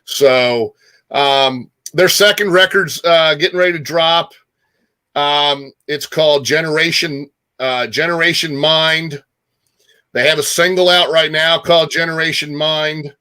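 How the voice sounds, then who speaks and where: Guy hosting a casual music talk show, bright forceful voice, kinda high for a man, repeats himself for emphasis. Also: measured pace, clean tone. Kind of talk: casual rock music chat.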